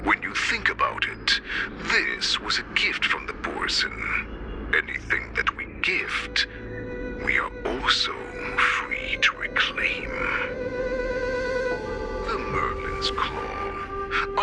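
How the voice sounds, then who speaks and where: An actor playing a ruthless, proud wolf-man warlord in a video game, with a menacing, breathy growl and theatrical delivery. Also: mid-range voice. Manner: slow, theatrical, menacing speech. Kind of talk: video game cutscene acting. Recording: loud game music behind the voice. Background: game soundtrack music.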